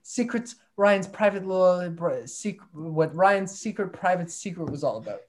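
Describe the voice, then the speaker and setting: A man hosting a comedy podcast, introducing guests and spinning long, deadpan, rambling riffs. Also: high voice, normal speed. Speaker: podcast host.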